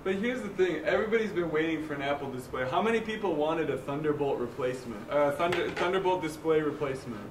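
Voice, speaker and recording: Loud animated man in a roomy meetup. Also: on the high side, quick pace, hardly any pauses. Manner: loud, animated, talks in bursts. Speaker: meetup attendee. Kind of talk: casual group discussion. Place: meetup room. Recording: roomy, from far off, faint noise.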